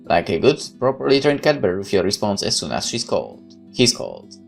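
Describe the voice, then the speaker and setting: A man doing a let's play, reading game text aloud and adding chatty, expressive commentary. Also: lowish voice, fairly quick, creaky. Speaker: gaming YouTuber. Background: guitar music.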